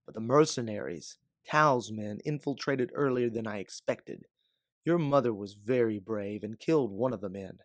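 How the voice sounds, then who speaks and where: A man voicing an aged, scarred mercenary, calm, weary and measured as he justifies himself. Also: fairly low pitch, no echo, studio quality, natural voice. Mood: somber explaining. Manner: measured, explaining calmly.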